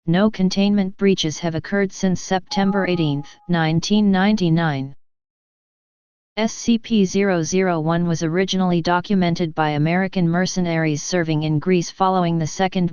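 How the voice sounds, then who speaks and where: A woman with a slightly low, very clean and resonant voice narrates a formal scripted document. Her delivery is measured and impersonal. The recording is close and free of echo, though a little dull and lo-fi. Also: darkish tone, clear, resonant tone. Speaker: narrator reading a fictional archive document.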